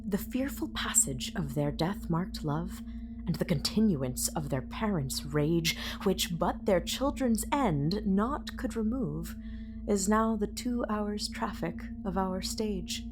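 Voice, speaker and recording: A woman playing the grave chorus of a tragedy, reciting Shakespearean verse in a formal, measured way. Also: medium pitch, lively intonation, noisy background. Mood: solemn. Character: solemn chorus narrator of a tragedy.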